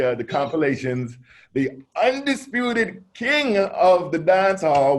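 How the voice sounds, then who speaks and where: A male talk show host who opens with a big hyped introduction and keeps the chat going with quick affirmations. His voice sits on the higher side for a man. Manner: hypey introductions, frequent affirming "right". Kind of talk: interview hosting.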